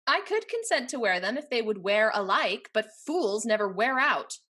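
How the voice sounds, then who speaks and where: A woman's voice: on the high side, big pitch swings, normal speed, sharp tone, full character voice. A woman playing a spirited, fashionable young heiress in a Restoration comedy, haughty, witty and affected. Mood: witty exasperation. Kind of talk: theatrical Restoration comedy acting.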